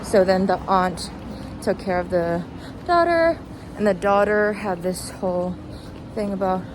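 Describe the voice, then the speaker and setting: A woman's voice: mid-range voice, a little slow. A young adult woman giving casual, rambling commentary as a vlogger, informal and hesitant, with trailing thoughts, recorded outdoors while walking. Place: outdoors, walking along a street. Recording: noisy outdoor recording with traffic ambience. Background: clear street and vehicle noise.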